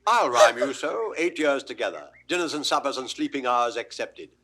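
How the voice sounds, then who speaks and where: An actor playing a witty, mocking court jester in a classical Shakespearean audio drama, theatrical and mock-pompous in delivery. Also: male voice, medium pitch, big pitch swings, normal speed, a bit bright. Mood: teasing. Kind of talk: classical Shakespearean stage acting.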